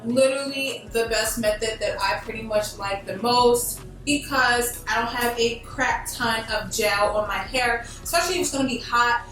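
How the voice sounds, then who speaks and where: High-voiced woman in a very echoey room. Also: a bit bright. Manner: informal, emphatic, peppered with like and literally. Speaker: beauty vlogger presenting a tutorial. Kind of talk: casual chatty hair tutorial. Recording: very echoey room, distant mic, faint music.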